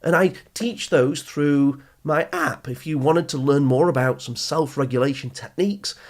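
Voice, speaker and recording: A man's voice: a little high, normal speed. A British man hosting a motivational advice podcast, speaking directly to listeners in an emphatic, explanatory manner with rhetorical questions and repetition. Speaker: podcast host and study skills coach.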